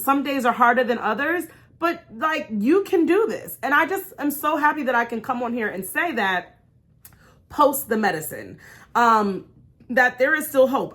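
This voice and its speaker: High-pitched woman, American accent, bright forceful voice, candid storytime vlog, emphatic and conversational. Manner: animated, emphatic, conversational, encouraging. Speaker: vlog host. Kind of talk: personal storytime, candid casual chat.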